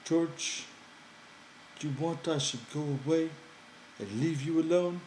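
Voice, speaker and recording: Audiobook role: big childlike farmhand, high whiny pleading voice, ungrammatical country talk, roomy sound. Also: male voice, slow pace, a little mellow, slight background noise. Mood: hurt, wistful. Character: big childlike simple-minded man, eager, pleading ranch hand.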